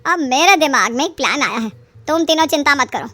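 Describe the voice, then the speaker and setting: A woman's voice: sits high, expressive, brisk, pushed and bright. A woman voicing a middle-aged mother-in-law in a story, coaxing and plaintive as she schemes. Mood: coaxing, scheming. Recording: music behind the voice.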